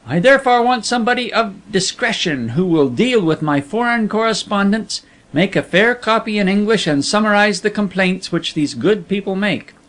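A man voicing a secretive, gruff middle-aged male editor in a storybook reading, speaking in a measured, confiding way. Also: high-pitched, expressive, a bit bright, pitched above the performer's own voice.

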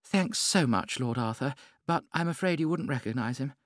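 A vivacious society hostess of forty, voiced by a man in a high, bright, theatrical tone. She swoops through a lively, animated melody. The sound is dry and close, like an audiobook. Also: normal speed, no room sound, lightly acted. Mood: airy. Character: vivacious society hostess, middle-aged woman.

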